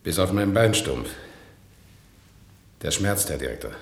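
Very deep, gravelly old prisoner. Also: male voice, expressive, unhurried, heavily acted. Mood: bitter, questioning. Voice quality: gravelly, husky. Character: worn, bitter, defiant one-legged old war veteran and prisoner. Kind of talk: 1960s German radio play acting. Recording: 1960s radio play recording.

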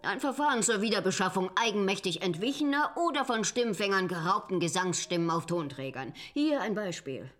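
A woman's voice: medium pitch, expressive, brisk, few pauses, a bit bright, lightly acted. An actress playing an eccentric, self-assured woman scientist in a radio drama, with a formal, learned way of talking. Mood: helpful, pleased. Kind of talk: German radio drama acting.

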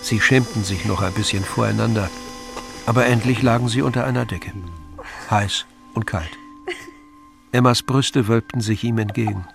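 A man's voice: fairly low pitch, fairly even intonation, normal speed, creaky, long pauses, over music. A man giving scripted literary narration as the narrator of an audio drama.

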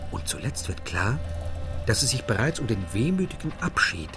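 An actor playing an earnest male interpreter translating a lecture in a radio play, measured and explanatory. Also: medium pitch, very animated, music in the background, natural voice. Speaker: interpreter voice-over.

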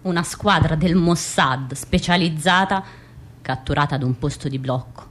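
Low-voiced guilty captured agent. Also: female voice, normal speed. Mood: regretful. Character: anxious captured female secret agent confessing her failure. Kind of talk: dramatic monologue in radio theatre.

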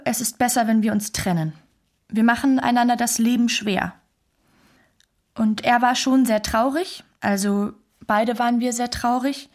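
A woman playing an earnest Chinese woman who recounts her troubled relationship in a reflective, measured way, as in a documentary radio play. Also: fairly high pitch, close to an everyday voice. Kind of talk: documentary radio drama monologue.

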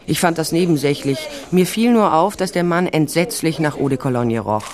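A woman's voice: sits low, animated, moderate pace, a crowd in the background. An actress playing a blunt private investigator who narrates her case with dry sarcasm in a crime radio drama. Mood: dry, sardonic. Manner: dry, sardonic.

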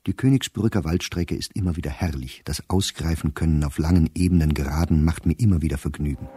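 Very deep, mellow male diarist. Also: slight background noise. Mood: matter-of-fact. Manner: measured, precise, clipped telegraphic phrasing. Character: observant middle-aged male diarist, dry and wry. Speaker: actor reading a diary. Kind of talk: literary diary narration in a radio play.

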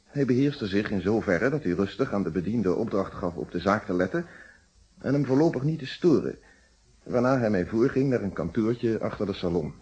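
An actor playing a calm, principled middle-aged police inspector in a Dutch radio detective play, speaking in formal, articulate explanations. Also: male voice, fairly low pitch, normal speed, husky. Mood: narrating, probing. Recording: dull, muffled old radio recording.

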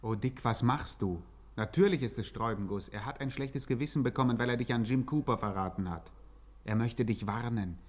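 An actor playing an anxious narrator who pleads melodramatically with the hero in a radio satire. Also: male voice, mid-range voice, a little fast, close to an everyday voice. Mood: lamenting. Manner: urgent, melodramatic, imploring.

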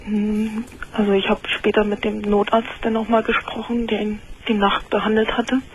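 A woman in her thirties speaks quietly and subdued, partly in a whisper, with clear hiss behind her. Her voice is flat and even, with a grieving heaviness. She is a caller to a talk show. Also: mid-range voice, moderate pace, low-quality recording. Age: 30s.

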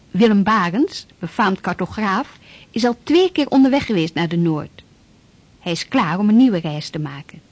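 A woman narrating a historical radio drama as a composed chronicler, in a measured storytelling manner. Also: mid-range voice, no room sound, lightly acted.

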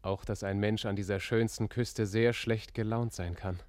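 An actor in a German radio play, playing a charming, roguish drifter who woos a traveller in a seductive, intimate way. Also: male voice, fairly low pitch, steady tone, normal speed, a little mellow, no room sound, natural voice. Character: charming roguish local drifter wooing a traveller, by turns urgent.

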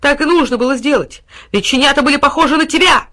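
Storybook dog, devoted and combative, played by a woman with bright, high, fervent shouts. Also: normal speed. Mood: fierce, excited. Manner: excited shouting, fervent exclamations. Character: loyal, fierce, devoted male dog defending his master.

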